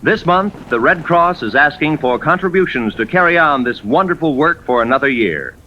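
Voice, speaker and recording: A man's voice: a little high, animated, normal speed, bright, forceful tone, a little hiss or hum. A man as a formal, earnest announcer reading a scripted old-time radio announcement. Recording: dull old radio recording with music.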